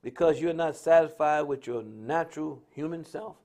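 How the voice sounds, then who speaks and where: A man's voice: a little high, normal speed, a little mellow. An American man speaking off the cuff in a preaching style on a religious talk stream, emphatic and repetitive, with colloquial storytelling. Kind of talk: impromptu preaching-style social commentary.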